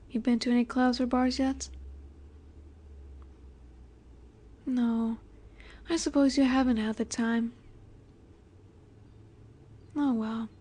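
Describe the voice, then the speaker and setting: Soft, slow-speaking vampire seductress, acted by a woman. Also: on the high side. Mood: flirtatious, inviting. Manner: soft, breathy, measured, often dropping to a whisper. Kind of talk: intimate scripted audio roleplay.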